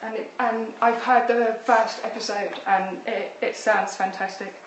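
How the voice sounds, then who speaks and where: A woman's voice: a little high, steady tone, a little slow. A woman interviewer asking conversational questions in a tentative, meandering way. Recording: very echoey, distant mic, faint noise.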